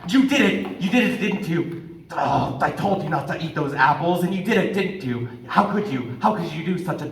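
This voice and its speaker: A man's voice: fairly high pitch, expressive, moderate pace, natural voice. An actor playing Adam, the naive first man, speaking whimsically and singing with wonder in a stage musical. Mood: scolding. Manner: whimsical, wistful, sings with wonder. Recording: roomy, distant stage sound with orchestra.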